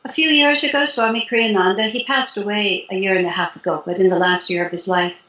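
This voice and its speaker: A woman in her sixties, a spiritual teacher calmly explaining her practice in an interview, with light laughs. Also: mid-range voice, fairly quick. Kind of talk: interview guest. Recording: roomy echo.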